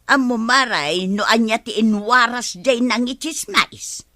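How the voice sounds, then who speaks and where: A woman's voice: on the high side, unhurried, bright, forceful tone, no room sound, studio quality. A woman playing an eager busybody neighbour in an Ilocano comedy drama, confiding and gossipy, with an Ilocano accent.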